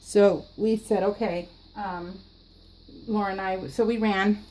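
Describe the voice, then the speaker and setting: A woman's voice: medium pitch, fairly even intonation, takes it slowly, darkish tone, a little hiss or hum, poor audio. A middle-aged woman chatting casually in a rambling, giggly way as she narrates a craft video. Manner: giggly, frequently laughing, rambling anecdotal storytelling. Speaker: scrapbooking vlogger.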